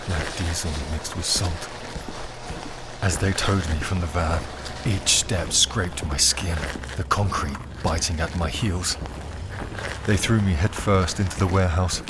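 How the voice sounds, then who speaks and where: Casting: male narrator for dark crime drama, low-pitched, unhurried, brooding, with clear background ambience. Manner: brooding, deliberate, dramatic pauses. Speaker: narrator and performer. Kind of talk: dark first-person scripted drama narration. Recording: clear ambient noise behind the voice.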